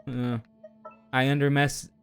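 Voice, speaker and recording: A man streams a video game with clear music playing behind him. His voice sits at an average male pitch. He comments casually on his own play, talking and exclaiming to himself as he goes. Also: little pitch movement, slow pace, fairly bright tone. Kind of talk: casual live gameplay commentary.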